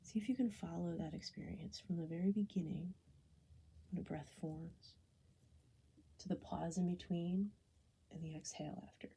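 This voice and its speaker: A woman guiding a body scan meditation, speaking softly and gently in an unhurried, soothing way, often slipping toward a whisper. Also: lowish voice, steady tone, poor audio. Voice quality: soft, breathy, often falling into a whisper. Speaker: meditation guide.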